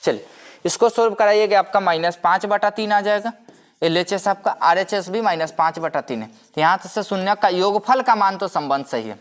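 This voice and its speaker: A man's voice: sits high, normal speed, a bit bright. A man giving a maths lecture as a teacher, explaining step by step, repeating himself and posing rhetorical questions. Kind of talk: step-by-step classroom-style lecture.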